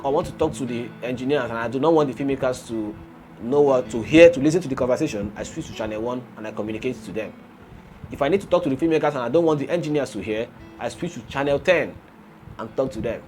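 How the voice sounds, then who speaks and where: A man hosting a casual gadget review, explaining and demonstrating as he goes. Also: medium pitch. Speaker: gadget reviewer and video host. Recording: music playing behind the voice.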